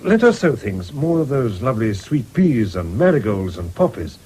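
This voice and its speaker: An actor in a 1970s radio drama playing a genteel, considerate middle-aged country doctor. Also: male voice, mid-range voice, big pitch swings, normal speed, a little mellow, husky. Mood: eager, discreet. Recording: noisy old radio drama recording.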